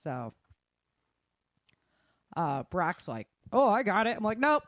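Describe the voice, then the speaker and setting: A woman's voice: mid-range voice, sing-song, takes it slowly, dry recording. Frank woman giving casual advice, close dry sound. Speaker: self-defense instructor and guest.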